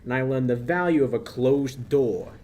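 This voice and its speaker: A man's voice: mid-range voice, normal speed, dark tone, natural voice. A young man acting a smug, sardonic tough guy in a 1940s-style radio drama. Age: young adult. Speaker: high school actor.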